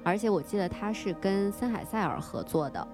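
A woman's voice: medium pitch, lively intonation, a little fast. A woman co-hosting a casual podcast, conversational and openly enthusiastic in the way she talks. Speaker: podcast co-host. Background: music behind the voice.

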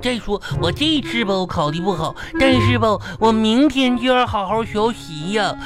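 A man's voice: high voice, lively intonation, normal speed. A man playing a dim, boastful schoolboy with a northeastern Chinese accent, drawling excuses in a radio comedy. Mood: whiny. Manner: whiny, drawling, silly logic. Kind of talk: radio comedy sketch acting. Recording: loud music behind the voice.

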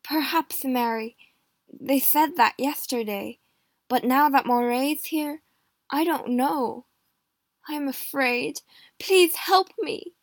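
A woman's voice: high-pitched, slow pace, no echo, voice pushed up. A woman reading a storybook aloud and voicing a frightened, whining young husband who pleads tearfully. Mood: frightened pleading. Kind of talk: audiobook reading.

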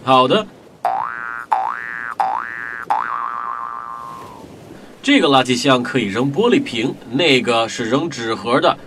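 A man playing a lively, expressive young boy in a children's radio drama. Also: mid-range voice, normal speed, background noise, lightly acted. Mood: agreeable.

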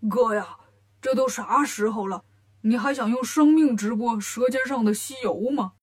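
A woman's voice: a little high, moderate pace, natural voice. A woman voicing a simple, gloomy male pig disciple from a children's story, grumbling in a plaintive drawl. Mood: exasperated.

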